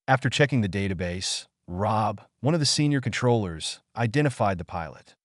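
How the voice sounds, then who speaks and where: A man's voice: lowish voice, no room sound, clean studio sound. A man reading a scripted story in a dramatic, suspenseful narrator's style.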